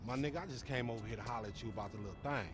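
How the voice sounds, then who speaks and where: A man's voice: medium pitch, rapid-fire, over music, lightly acted. An American man playing a cocky, slangy young street gangbanger in a video game cutscene. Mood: insistent bravado. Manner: slangy, brash. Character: loudmouthed, cocky young male street gangbanger, comic bravado.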